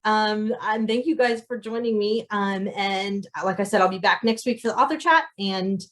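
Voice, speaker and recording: A woman's voice: mid-range voice, moderate pace, a bit bright. A woman chatting casually and effusively to viewers as an author hosting an unscripted question-and-answer livestream. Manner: effusive, often chuckles mid-sentence.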